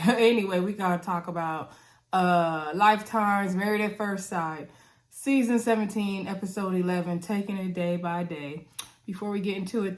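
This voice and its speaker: Chatty congested woman recapping a show. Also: medium pitch. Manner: chatty, animated, says "y'all" and "anywho". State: congested, coughing. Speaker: YouTube reality-show recapper. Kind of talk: casual chatty recap to viewers.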